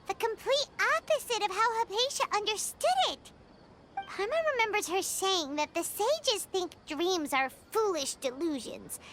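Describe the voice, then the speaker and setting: A woman voicing a tiny, childlike, inquisitive fairy companion in an anime video game, with a squeaky voice. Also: noisy background, exaggerated voice. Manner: speaks of self in third person, puzzling things out aloud.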